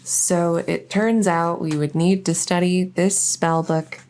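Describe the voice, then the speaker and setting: A woman playing an awkward, earnest fledgling vampire in an ASMR audio roleplay, halting and stammering as she tries to win the listener over. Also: lowish voice, fairly even intonation, a little mellow, a little hiss or hum. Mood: earnest, hesitant.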